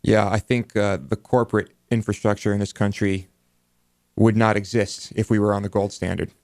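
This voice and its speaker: A man's voice: lowish voice, flat delivery, normal speed, darkish tone, no room sound, studio quality. A man co-hosting a talk show with off-the-cuff commentary.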